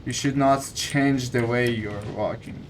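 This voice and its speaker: A man's voice: mid-range voice, steady tone, unhurried. A man giving casual, step-by-step commentary, explaining as he goes. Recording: faint background noise.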